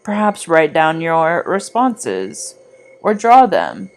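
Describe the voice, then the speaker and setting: A woman reading a scripted guided meditation in a soothing, deliberate, gently instructive manner. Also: low voice, wildly swooping pitch. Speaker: meditation guide, art teacher.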